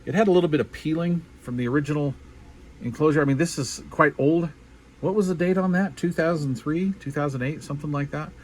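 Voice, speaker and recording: A man's voice: a little high, fairly quick, rough recording. Folksy man narrating over background noise. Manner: folksy, colloquial, self-deprecating. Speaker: hobbyist showing a DIY radio build. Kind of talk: casual unscripted show-and-tell narration.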